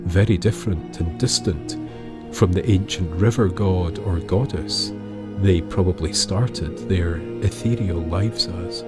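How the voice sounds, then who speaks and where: A man narrating a scripted tale in a measured way, with dramatic pauses. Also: sits low. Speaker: storyteller, podcast narrator. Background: music bed with guitar.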